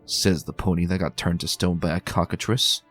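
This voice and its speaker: A man's voice: low voice, fairly even intonation, brisk, fairly bright tone, faint music behind. A man voicing a worried young male baby dragon in a fan reading, protective and pleading.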